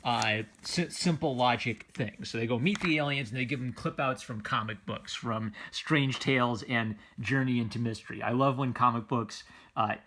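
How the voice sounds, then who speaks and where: Guy with a mid-pitched voice just rambling happily about comics, off the cuff, keeps restarting phrases. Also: normal speed, hardly any pauses, a bit bright, a little hiss or hum. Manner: rambling and enthusiastic, often circling back on phrases. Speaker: comic book reviewer. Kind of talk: casual unscripted commentary.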